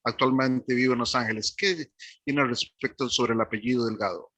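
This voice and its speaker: A man's voice: medium pitch, fairly even intonation, moderate pace, pushed and bright. A man with a Costa Rican accent politely asking a question from the audience. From Costa Rica. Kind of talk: polite formal introduction and question.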